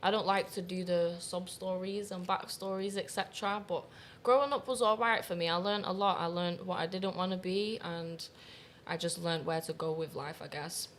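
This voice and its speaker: A woman's voice: on the low side, steady tone, normal speed, few pauses, a bit bright, a little hiss or hum. A confident woman, speaking candidly and laughing easily as an interview guest. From Manchester, England. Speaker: guest, professional dominatrix. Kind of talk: casual interview chat.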